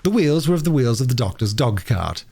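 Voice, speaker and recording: A man reads a children's storybook aloud. His mid-pitched voice swings widely and expressively as he narrates. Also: very animated, a little fast, gravelly, warm tone, breathy edge. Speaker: audiobook reader.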